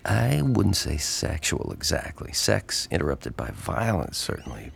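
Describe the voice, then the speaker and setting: Very gravelly, deep male reporter. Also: very animated, a little slow, faint music behind, full character voice. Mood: intimate, teasing. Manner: casual, wry, hesitant at tender moments. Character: easygoing male newspaper reporter, protective, wry lover. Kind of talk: intimate audio drama acting.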